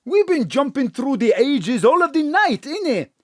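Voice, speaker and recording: An actor playing an apologetic, simple, friendly tea-maker in an audio drama, hesitant and colloquial. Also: male voice, extremely high pitch, sing-song, normal speed, fairly bright tone, no room sound, studio quality, heavily acted. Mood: puzzled.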